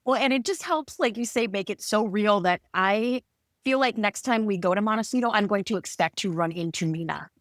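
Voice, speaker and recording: A woman hosting a podcast in casual chat, bubbly and quick to laugh. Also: medium pitch, no room sound, studio quality. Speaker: podcast host.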